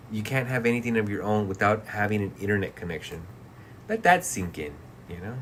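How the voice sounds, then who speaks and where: Low-voiced guy in his late 30s chilling on stream, cussing and mumbling, faint hum behind. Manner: laid-back, profane, laughs often, trails off into mumbles. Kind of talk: casual livestream chat, reading chat comments.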